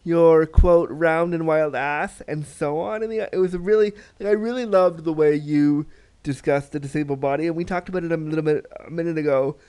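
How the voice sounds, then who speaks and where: A man's voice: on the high side, a little fast, darkish tone, clean tone. A man interviewing a guest, enthusiastic and empathetic, sometimes acting out inner thoughts in quotes. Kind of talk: conversational interview.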